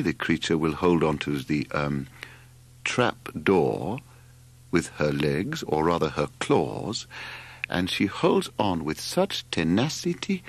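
A man voicing a shy, learned bearded naturalist in an audiobook, speaking slowly and meticulously with hesitant ums and pauses. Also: sits low, breathy edge, no echo, exaggerated voice.

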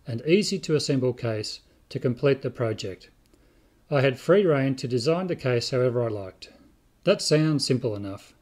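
An older man narrates a scripted how-to in a measured, methodical way. His voice is mid-pitched and a little husky. He explains things step by step. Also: a little mellow. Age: older, about 60. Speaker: video host, 3D print designer.

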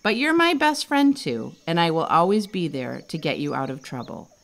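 A woman's voice: low-pitched, big pitch swings, moderate pace, gravelly, heavily acted. A woman voicing a clever, caring young male elephant in a storybook, earnest and reassuring. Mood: gently scolding.

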